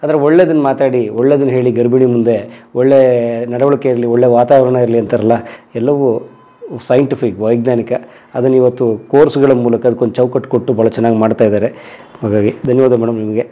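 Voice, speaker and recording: A man's voice: medium pitch, fairly even intonation, brisk. A man hosting an interview, asking short prompting questions. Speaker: interviewer, channel host. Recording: dull lo-fi sound.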